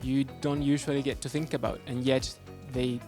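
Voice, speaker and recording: A man's voice: medium pitch, little pitch movement, normal speed. A man who played a game, reflecting on it in an interview while searching for words. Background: background music.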